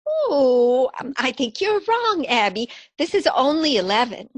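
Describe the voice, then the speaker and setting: An actress playing a sweet, dotty elderly aunt in a comedy radio play, genteel and cheerfully innocent. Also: female voice, high voice, big pitch swings, unhurried, no echo, full character voice. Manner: genteel, chirpy, soothing. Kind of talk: stage comedy radio theatre acting.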